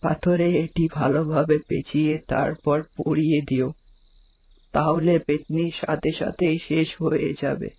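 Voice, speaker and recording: A man voicing a kindly, worried old village aunt in a horror cartoon, speaking in a flat, earnest, warning way. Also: a little high, a little slow, creaky, breathy edge, exaggerated voice, poor audio.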